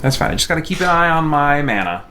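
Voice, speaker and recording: A man's voice: fairly high pitch, sing-song, fast talker, bright, forceful tone, slight background noise. A man streaming a game, chatting casually and muttering to himself in a distracted way. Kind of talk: casual chat while gaming on a live stream.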